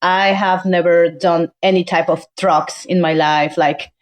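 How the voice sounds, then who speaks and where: A woman's voice: lowish voice, steady tone, a little slow. A woman from Venezuela, a native Spanish speaker with a Venezuelan accent, chatting casually as a podcast guest. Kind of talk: casual chat.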